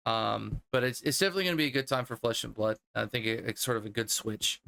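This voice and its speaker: A man's voice: mid-range voice, quick pace, creaky, pushed and bright. A man chatting casually as a podcast host, with loose phrasing that circles a little before landing. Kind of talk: casual conversation.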